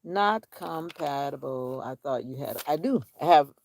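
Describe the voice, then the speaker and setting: Gravelly-voiced woman rambling outdoors. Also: extremely low pitch, lively intonation, fairly quick, noisy background. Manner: rambling, musing to herself and viewers. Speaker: vlogger. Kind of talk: casual outdoor vlog chat. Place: outdoors on a nature walk.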